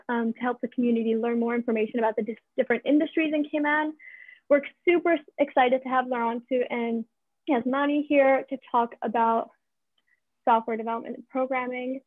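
A woman's voice: high voice, dry recording. Upbeat woman host on a tinny call. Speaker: event host. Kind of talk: opening remarks. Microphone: video call. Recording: tinny dry phone-like call audio.

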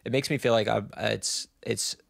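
A man in his twenties co-hosting a casual podcast, teasing in a deadpan way and repeating jokes. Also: mid-range voice, normal speed, vocal fry, no echo, clean studio sound. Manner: deadpan, teasing, repetitive joking. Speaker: podcast co-host. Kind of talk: casual chat. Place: small apartment room, indoors. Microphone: handheld dynamic mic, close.

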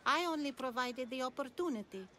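An actress playing an enigmatic, lofty older wise woman in a video game, speaking in a measured, cryptic, superior way. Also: sits high, expressive, pushed and bright, a little hiss or hum. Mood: lofty, knowing. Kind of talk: video game cutscene dialogue.

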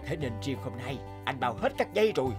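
A man's voice: medium pitch, lively intonation, quick pace, soft background music, close to an everyday voice. A man voicing a cocky, boastful young gambler in a cartoon, swaggering and scornful as a bossy older brother. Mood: bragging. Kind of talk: animated moral tale dialogue.

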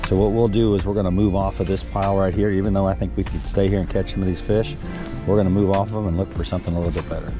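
Upbeat middle-aged man on a boat. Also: lowish voice. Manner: upbeat, talks straight to viewers, excitable when fish bite. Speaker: fishing guide and bait seller. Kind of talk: casual fishing vlog with sales pitch. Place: outdoors on a boat on a lake. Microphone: action camera on the boat.

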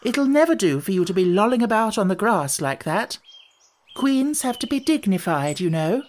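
Man voicing a prim, high-pitched young girl. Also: big pitch swings, normal speed, darkish tone. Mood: prim, self-scolding. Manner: prim, earnest, pleading. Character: polite young girl, earnest and argumentative. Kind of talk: audiobook character voice.

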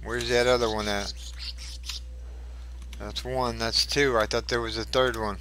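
A man's voice: medium pitch, little pitch movement, measured pace, background noise. A man giving casual commentary as he plays a shooter game, chatting and muttering to himself through fights. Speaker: gaming channel host.

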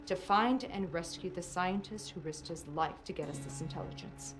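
A woman's voice: fairly low pitch, normal speed, over music, lightly acted. An actress playing a determined, serious female wartime intelligence officer in a game, formal and grave. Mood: resolute. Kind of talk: game cutscene acting.

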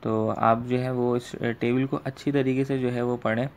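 A man's voice: medium pitch, level tone, normal speed, darkish tone, clean tone. A man giving an informal screen tutorial, explaining step by step with repeated filler phrases. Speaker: fishing tutorial host.

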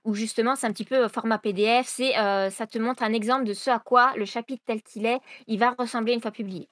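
A woman's voice: a little high, fairly even intonation, a little fast, no echo, clean studio sound. Hesitant young woman writer. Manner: hesitant, many fillers and restarts. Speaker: writer being interviewed. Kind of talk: casual explanatory interview answers.

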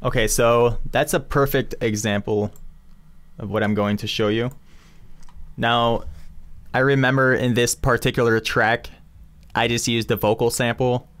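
Casual tutorial host: young male, crisp voice, slow delivery, informal and playful. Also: on the low side. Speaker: music production tutorial host.

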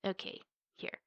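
A woman playing a playful, teasing, affectionate big sister in an ASMR roleplay, chatting to the listener with drawn-out words and hushed asides. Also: dry recording, dull audio, lightly acted.

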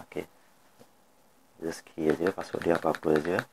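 A man's voice: sits low, completely flat delivery, a little slow, darkish tone, rough recording. A man with a Malaysian accent giving an informal step-by-step tutorial, repeating instructions and mixing in some English words. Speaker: product demonstrator. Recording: clear background noise.

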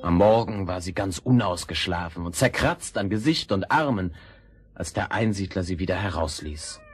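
A man's voice: low-pitched, lively intonation, normal speed. A man narrating fairy tales for children as a scripted storyteller.

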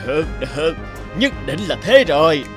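A man's voice: on the high side, very animated, normal speed, over music. A man voicing an ugly, gloating old sewer rat in a children's fairy-tale cartoon. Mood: smug, gloating.

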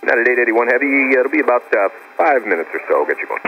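Rapid-fire male tower controller on radio. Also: medium pitch, sing-song. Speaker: tower air traffic controller. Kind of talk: radio instructions. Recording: dull, faintly noisy tower radio.

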